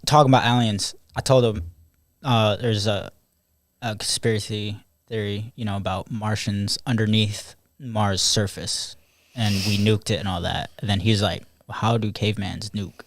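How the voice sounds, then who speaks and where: A man's voice: on the low side, steady tone, normal speed, no echo. A teenage man hosting a casual podcast chat with friends, rambling, laughing often and swearing freely. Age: teens. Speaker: podcast host. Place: small room with friends.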